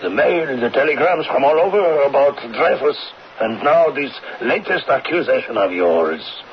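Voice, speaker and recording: A man's voice: mid-range voice, animated, moderate pace, lightly acted. A man in an old radio drama playing a stern French official in authority, formal and questioning. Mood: weary, probing. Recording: noisy muffled old radio recording.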